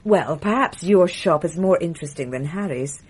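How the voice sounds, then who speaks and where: A refined titled lady in a radio drama, soft-spoken and confiding. Her voice sits low for a woman and has a husky, breathy edge. Her pitch moves in a lively way as she talks. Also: normal speed. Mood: wistful.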